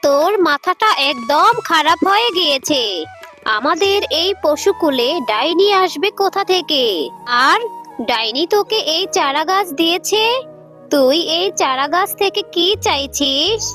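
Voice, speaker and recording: A woman's voice: very high-pitched, lively intonation, a little slow, bright, forceful tone, heavily acted. A woman doing a scolding mother fox. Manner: scolding, impatient. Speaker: narrator and mother. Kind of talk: cartoon voice acting. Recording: music playing underneath.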